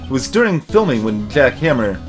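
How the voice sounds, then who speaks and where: A man voicing an earnest, persistent young male defense lawyer, reading video game dialogue in a measured, insistent way. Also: medium pitch, sing-song, faint music behind, lightly acted. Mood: earnest, pressing.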